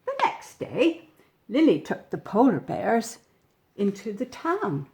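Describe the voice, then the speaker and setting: A woman reading a storybook expressively to young children, gently chatting about the pictures as she goes. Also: mid-range voice, slow, noisy background. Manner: gentle, animated, pointing out pictures with questions to the listener. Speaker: storybook reader.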